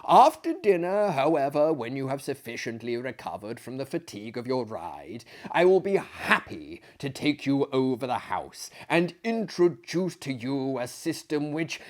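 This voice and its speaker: A man voicing a genial, pompous older asylum director in a theatrical story reading, lecturing with emphasis. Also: medium pitch. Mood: proud, patronising. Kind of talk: theatrical storytelling of a classic tale.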